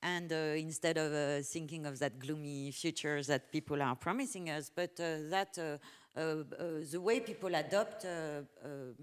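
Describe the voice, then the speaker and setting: A woman with a notably low voice moderates a tech panel, stopping often with fillers and restarts as she builds her questions. Her voice carries a long hall reverb, heard from a conference stage. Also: smooth. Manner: halting, many fillers and restarts.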